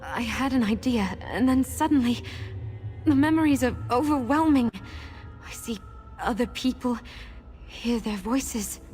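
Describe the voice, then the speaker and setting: An actress playing an earnest young woman in a video game who seeks her lost memories, speaking in a measured, sincere way. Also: a little high, lively intonation, warm tone, faint music behind. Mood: overwhelmed, awed. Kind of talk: video game character acting.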